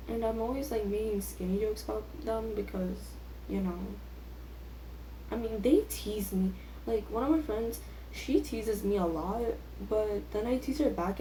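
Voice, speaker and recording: A woman's voice: medium pitch, warm tone. A teenage girl chatting casually and rambling, with a self-deprecating, youthful way of talking full of filler words. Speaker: vlogger. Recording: echoey room with faint background noise.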